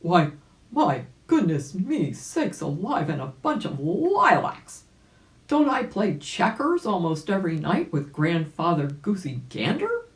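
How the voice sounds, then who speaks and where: A woman voicing a fussy, exclamatory old gentleman rabbit in a children's storybook, with old-fashioned turns of phrase. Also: fairly low pitch, sing-song, unhurried, pitched above the performer's own voice. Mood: indignant. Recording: roomy, echoing home recording.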